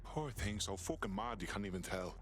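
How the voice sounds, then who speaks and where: A man's voice: lowish voice, lively intonation, a little fast, slight background noise, natural voice. A man playing a defiant, scornful resistance hacker in a video game, speaking in a terse, sardonic way. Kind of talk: video game cutscene acting.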